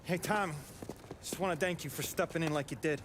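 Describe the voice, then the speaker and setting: Casting: a man voicing an earnest mechanic, slightly high voice, faint hum. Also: a little fast, lightly acted. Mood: grateful. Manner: earnest, grateful.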